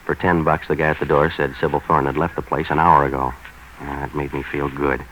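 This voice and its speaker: Very deep, fast hard-boiled American detective. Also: male voice. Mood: deadpan, sardonic. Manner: clipped, deadpan, sardonic. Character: hard-boiled, cynical, impatient male private detective. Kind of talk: 1940s radio noir narration and acting. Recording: noisy vintage 1940s radio recording.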